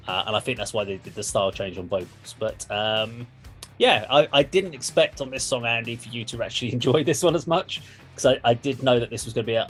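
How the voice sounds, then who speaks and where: A man hosting a casual music discussion, explaining things in an informal, sweary way. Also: fairly low pitch. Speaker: music reaction show host.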